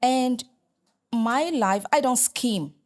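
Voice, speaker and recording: A woman's voice: fairly high pitch, a little mellow. Hesitant middle-aged Nigerian woman. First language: Igbo. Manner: hesitant, measured, many fillers and restarts. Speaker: guest, religious sister and lecturer. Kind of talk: interview answers.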